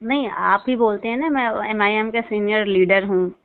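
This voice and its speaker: A woman's voice: medium pitch, moderate pace. A woman calling for help, speaking earnestly and assertively over the phone. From Uttar Pradesh, India. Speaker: caller seeking help. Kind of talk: phone call conversation. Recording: tinny narrow-band phone line.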